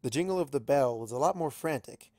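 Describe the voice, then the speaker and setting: Expressive male story reader, dry sound. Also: mid-range voice, a little fast, dry recording. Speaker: amateur audiobook reader. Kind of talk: expressive scripted story narration.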